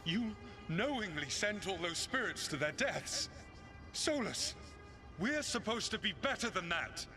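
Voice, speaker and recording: An actor playing a passionate, idealistic rebel lieutenant in a fantasy game, speaking with earnest command. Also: male voice, sits high, sing-song, unhurried, full character voice. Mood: bitter. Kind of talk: dramatic video game voice acting. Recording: loud music behind the voice.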